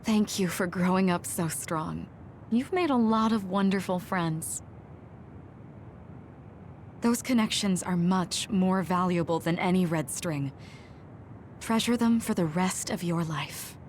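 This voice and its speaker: A woman playing a tender, earnest, self-sacrificing mother in a video game, speaking in a measured way. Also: lowish voice, darkish tone, a little hiss or hum. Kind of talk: English video game voice acting.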